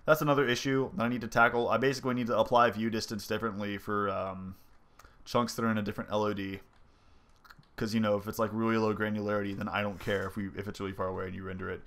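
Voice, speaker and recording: A man's voice: fairly low pitch, little pitch movement, quick pace, a bit bright. Congested young man rambling through a devlog. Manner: rambling, many ums and likes, thinking aloud. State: stuffy, congested. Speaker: indie game developer. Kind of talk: casual devlog commentary over gameplay.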